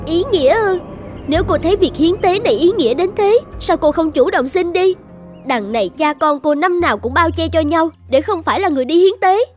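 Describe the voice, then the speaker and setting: A woman voicing a resentful young village girl in a cartoon fairy tale, speaking with sharp, sarcastic reproach. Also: very high-pitched, lively intonation, fairly quick, soft background music. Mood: accusing.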